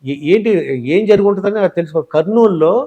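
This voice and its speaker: A man's voice: sits high, fast, highs cut off. A man with a Telugu accent asking polite, respectful questions as a conversational political interviewer. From Telugu-speaking southern India.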